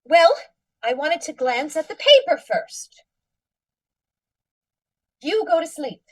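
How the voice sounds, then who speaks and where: A woman playing a high, needling radio wife. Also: sing-song, slow pace, pauses often, distant mic, full character voice. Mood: chatty. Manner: prim, needling, mock-sweet. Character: nagging, extravagant wife, sweetly needling. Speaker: radio play actress. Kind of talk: 1940s radio comedy acting.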